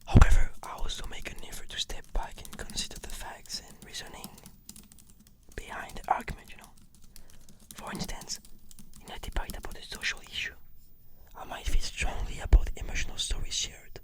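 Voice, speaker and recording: A woman whispering measured, articulate, scripted answers as the client in an ASMR roleplay.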